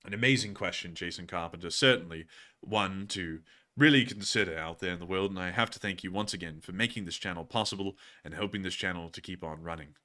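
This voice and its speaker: A man's voice: low voice, quick pace, sharp tone. A man from Alabama putting on a posh British accent as he hosts a live stream, answering viewer messages in a wordy, formal, mock-pompous manner. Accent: put-on posh British. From Alabama, United States. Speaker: livestream host.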